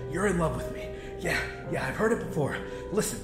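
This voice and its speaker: A man voicing an exasperated, sarcastic young man in a horror audiobook, often shouting. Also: a little high, expressive, a little fast, echoey room, over loud music, close to an everyday voice. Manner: exasperated, shouting, foul-mouthed.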